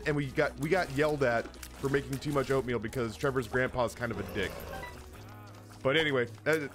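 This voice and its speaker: A man streaming games who tells comic stories casually, in an animated, exaggerating and profane way. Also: mid-range voice. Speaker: game streamer. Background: music in the background.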